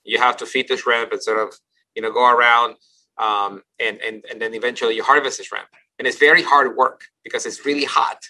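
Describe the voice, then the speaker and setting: A man's voice: mid-range voice, steady tone, brisk, sharp tone, poor audio. A man from Ecuador, a company CEO, telling his life story as a podcast guest, thoughtful, often restarting and repeating words. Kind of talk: casual interview storytelling.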